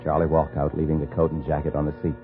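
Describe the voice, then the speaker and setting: A man's voice: a bass voice, monotone, fast talker. An American actor playing a tense, dogged man on the run in a 1950s radio thriller. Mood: tense narration. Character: tense hunted man, framed for murder, urgent and dogged. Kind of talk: 1950s radio thriller acting and first-person narration. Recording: muffled old radio broadcast with music.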